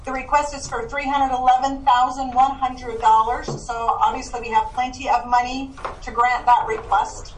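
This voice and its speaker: A woman on a call giving a financial update, reading out figures in a brisk, matter-of-fact way. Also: high-pitched, little pitch movement, slight background noise. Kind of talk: remote financial report. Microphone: heard through a call speaker in the room. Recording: distant, echoey call audio.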